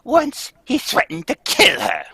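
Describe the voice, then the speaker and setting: A man playing a sharp-tongued woman animal trainer. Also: very high-pitched, huge pitch swings, a little slow, husky, no echo, full character voice. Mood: curt. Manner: defensive, snappy, hushed then shouting. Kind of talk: live old-time radio table read.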